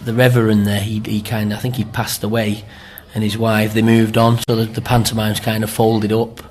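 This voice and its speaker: A man's voice: on the low side, completely flat delivery, brisk, a little mellow. A middle-aged man with a Greater Manchester accent, chatting in an interview in a rambling way and often checking with tag questions. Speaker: amateur pantomime performer being interviewed.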